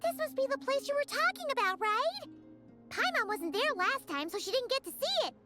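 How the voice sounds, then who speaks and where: Tiny childlike fairy companion, video game. Extremely high, squeaky, very animated, excitable. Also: female voice, moderate pace, over music. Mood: curious, excited. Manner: talks about herself in third person, bouncy. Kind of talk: scripted video game dialogue.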